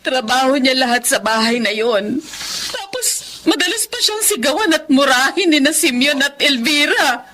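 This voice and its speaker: High, plaintive, worried mother. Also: very animated, moderate pace, noisy background. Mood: sorrowful. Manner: plaintive, emotional. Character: anxious, sorrowful wife and mother of a poor family. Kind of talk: radio drama acting.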